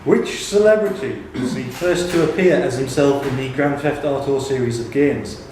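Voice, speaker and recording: A man's voice: mid-range voice, moderate pace, darkish tone. Man, quiz reader, live pub quiz, heavy room echo, faint background noise. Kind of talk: reading out quiz questions to players in a live pub quiz. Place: indoors in a pub. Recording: very echoey room, distant mic, background noise.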